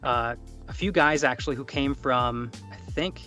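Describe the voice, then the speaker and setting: Bright-voiced male voice actor being interviewed. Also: mid-range voice, moderate pace, soft background music. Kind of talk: casual interview chat.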